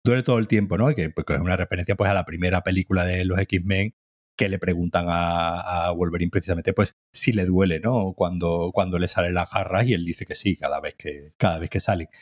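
Low-voiced man in casual review chat. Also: fairly even intonation, a little fast. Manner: hesitant, many fillers and restarts, agreeing often. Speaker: podcast co-host.

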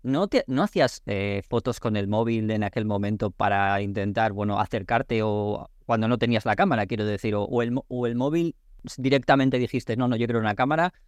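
A man's voice: on the low side, brisk, no echo. A man from hosting a podcast interview, chatty and reassuring, rephrasing his questions as he goes. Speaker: podcast host.

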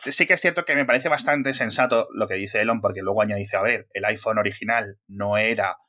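Bright-voiced man chatting. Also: lowish voice, a little fast. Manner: animated, jokey asides, many fillers. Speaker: podcast host. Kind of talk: casual tech podcast chat.

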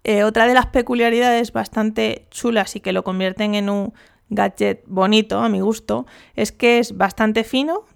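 A woman's voice: on the high side, fairly even intonation, normal speed, vocal fry, smooth. A woman hosting a casual solo podcast, chatty and rambling with jokey asides. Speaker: podcast host.

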